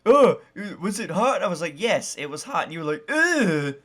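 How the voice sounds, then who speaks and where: A man bantering casually as a co-host, exasperated and quick to swear. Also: sits high, very animated, a bit bright. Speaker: co-host of a visual novel rant show. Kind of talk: casual banter between friends.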